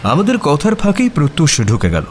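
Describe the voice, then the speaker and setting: Expressive male mystery storyteller. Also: fairly high pitch, brisk, a little hiss or hum. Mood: observant, engaged. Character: male detective's companion recounting the case as storyteller. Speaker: narrator. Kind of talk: expressive scripted story narration.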